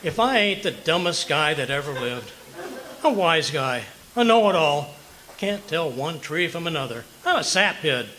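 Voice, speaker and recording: A man performs a bumbling, good-natured husband from an old radio comedy. His pitch leaps around as he blusters and mocks himself. It is a live stage recording with faint background noise. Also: very animated, normal speed, sharp tone, poor audio. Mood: self-disgusted. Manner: blustering, rattles off lists, flustered stammers. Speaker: amateur radio comedy actor. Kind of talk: old-time radio comedy acting before a live audience.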